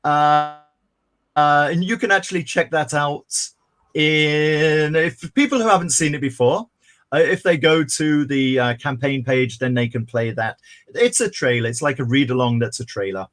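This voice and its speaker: A man co-hosting a casual comics livestream, chatty and rambling with bursts of excitement. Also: on the high side, moderate pace. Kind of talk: casual chat.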